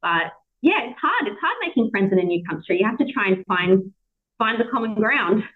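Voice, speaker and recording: A woman in her thirties with an Australian accent, hosting a casual chat, lively and quick to laugh. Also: medium pitch. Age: 30s. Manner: chatty, animated, laughs a lot. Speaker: podcast host. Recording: tinny phone-like call audio.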